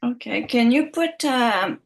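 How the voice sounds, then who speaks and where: A woman's voice: a little high, animated, slow pace, creaky. A woman hosting a live question-and-answer session on a video call, patient and encouraging as she asks questions.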